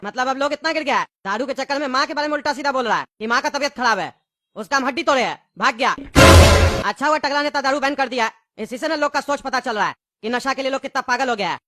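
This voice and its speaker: A grumbling cartoon pumpkin father, dismissive and forever scolding. The voice is high, sharp and rapid. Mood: annoyed. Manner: scolding, dismissive, fast-talking. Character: exasperated, grumbling pumpkin father, adult male. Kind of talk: Hindi cartoon dialogue.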